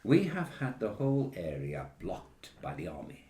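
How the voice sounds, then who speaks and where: Officious British government official, lively intonation. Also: male voice, sits low, roomy sound. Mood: officious, grave. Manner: officious, precise, measured. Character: formal, dutiful male government official giving a briefing. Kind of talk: satirical radio play.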